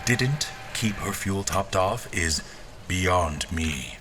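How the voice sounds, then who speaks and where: A man's voice: deep voice, expressive, very slow, background noise, heavily acted. An actor playing a formal male officer who recounts his memory in scripted, literary dramatic narration. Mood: grave, reflective.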